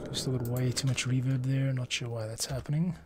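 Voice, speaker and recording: A man's voice: medium pitch, fairly even intonation, a little fast. A middle-aged man thinking aloud in casual commentary as he edits audio. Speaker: podcast audio editor, streamer. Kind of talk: casual work-along commentary. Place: small home studio room. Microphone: microphone on arm, close to mouth. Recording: clear keyboard and mouse clicks.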